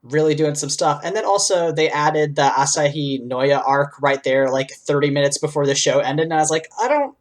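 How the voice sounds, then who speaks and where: A man's voice: on the high side, normal speed, hardly any pauses. A man co-hosting a podcast, giving informal, discursive commentary full of asides in a conversational way. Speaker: podcast co-host.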